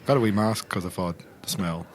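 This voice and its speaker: A man's voice: deep voice, fairly quick, a little hiss or hum, natural voice. A man playing a polite, practical agent in improvised tabletop roleplay, mild and understated, with background ambience. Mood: mild, reasonable.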